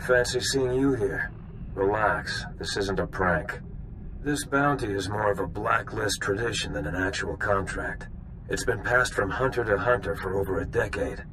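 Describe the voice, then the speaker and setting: A man's voice: fairly low pitch, background noise, lightly acted. An actor playing a seasoned bounty hunter in a video game, wry and knowing as he lays out old history.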